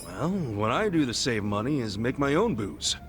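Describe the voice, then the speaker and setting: A man's voice: medium pitch, big pitch swings, normal speed, faint music behind, lightly acted. An actor with an American accent playing an earnest, easygoing, streetwise man in a video game, talking casually and bluntly. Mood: upbeat. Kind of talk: dubbed video game cutscene acting.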